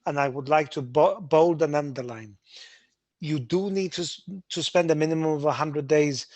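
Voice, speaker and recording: A man's voice: fairly high pitch, no echo. Emphatic man explaining tax rules. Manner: brisk, emphatic, with restarts. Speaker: webinar host, Gibraltar tax adviser. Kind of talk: explanatory webinar talk.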